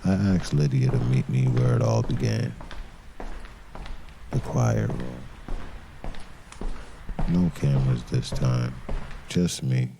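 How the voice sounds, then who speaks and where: An actor playing a weary, brooding detective who narrates his case in an audio crime drama with somber, deliberate pauses. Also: rumbling low, animated, vocal fry, noisy background, full character voice. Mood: grave, brooding.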